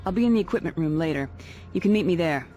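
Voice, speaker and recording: An actress playing a businesslike, no-nonsense security officer in a video game, with a brisk, professional manner. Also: female voice, on the low side, animated, warm tone, soft background music, close to an everyday voice. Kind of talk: video game cutscene acting.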